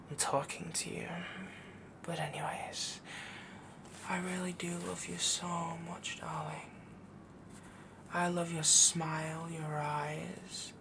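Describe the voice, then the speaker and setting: A possessive, lovestruck werewolf boyfriend, acted by a man for intimate roleplay audio. He murmurs slowly and evenly in a soft, breathy whisper that breaks into gravelly fry. He sounds dominant yet adoring, with clear noise behind the voice. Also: fairly high pitch, heavily acted.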